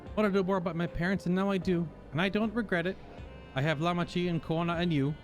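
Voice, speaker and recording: A man's voice: high voice, steady tone, fast, pitched above the performer's own voice, natural voice. A man voicing a brave, grateful young orphan boy while reading game dialogue aloud. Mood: earnest, grateful. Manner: earnest, lighter voice. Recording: game music behind.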